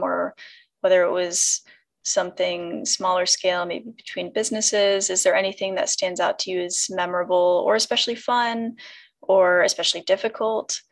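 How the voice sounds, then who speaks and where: A woman's voice: lowish voice, gravelly. A woman interviewer asking polite, curious questions in a conversation.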